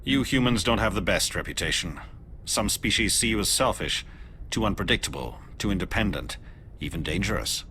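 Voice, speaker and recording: An actor playing a calm, stern male alien agent in a video game, speaking in a measured, deliberate, formal way. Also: lowish voice, a little hiss or hum.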